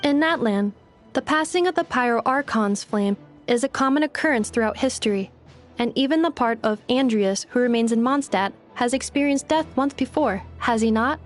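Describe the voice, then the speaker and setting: A woman's voice: on the high side, animated, moderate pace, faint music behind, close to an everyday voice. A woman voicing a polite, literal-minded android in a video game, speaking formally and with analytical precision.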